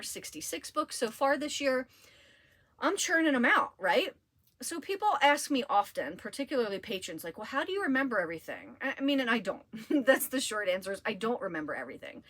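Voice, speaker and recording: A woman's voice: on the high side, big pitch swings, fairly quick, fairly bright tone. A woman giving casual, chatty recommendations like a librarian, with an enthusiastic, gushing and emphatic way of talking. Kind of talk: casual, chatty book review.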